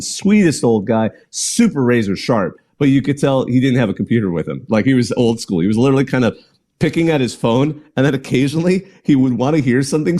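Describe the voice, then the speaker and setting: A man's voice: mid-range voice, dark tone. A man hosting a live call-in sports show, chatting casually and rambling with restarts and small laughs. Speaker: podcast host.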